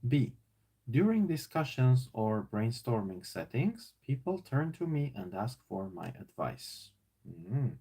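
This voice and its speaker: Armenian-accented man with a gravelly voice. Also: mid-range voice, big pitch swings, slow, warm tone. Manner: thinking aloud, many fillers and repeats. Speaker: teacher working through a leadership book. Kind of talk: casual self-assessment talk.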